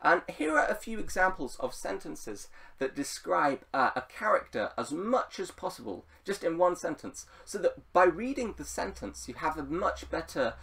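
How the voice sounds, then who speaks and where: Man with a very animated, swinging pitch. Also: moderate pace, gravelly, hardly any pauses, far from the mic. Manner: rambling, playful, repeats phrases for emphasis. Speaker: YouTube writing coach and host. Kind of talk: casual unscripted advice video.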